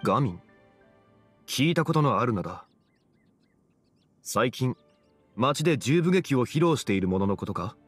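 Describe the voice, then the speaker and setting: Composed, formal young gentleman. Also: medium pitch, sing-song, breathy edge, soft background music. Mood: calm, courteous. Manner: formal, measured, archaic phrasing. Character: composed, wise, courteous young-looking gentleman. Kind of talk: anime game voice acting.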